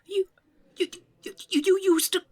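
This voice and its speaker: An actor playing a sweet, muddled elderly man in an audio comedy, with a hesitant, husky, high voice. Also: steady tone, moderate pace, dark tone. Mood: bewildered. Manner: confused, hesitant, stammering. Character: dotty, timid, sweet elderly man in a care home. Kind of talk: audio comedy drama acting.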